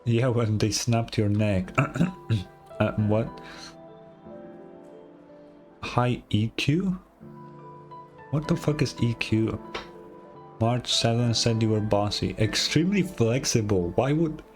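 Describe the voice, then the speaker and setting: A man's voice: mid-range voice, takes it slowly, long pauses. A man streaming a game, giving casual, offhand reaction commentary with blunt swearing over game music.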